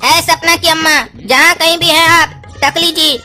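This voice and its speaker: A very squeaky cartoon brat voiced by a woman, cheeky and bossy, with a Bihari accent. He sounds brash and mocking over clear background music. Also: steady tone, unhurried, sharp tone, full character voice. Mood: brash, threatening. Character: cheeky, bullying small boy in comic cartoon. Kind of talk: cartoon skit dialogue.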